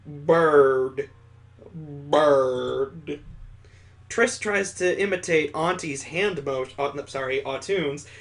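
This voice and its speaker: A man's voice: fairly high pitch, unhurried, hardly any pauses, fairly bright tone. A man hosting a let's play, reading a visual novel aloud and adding sarcastic joking asides.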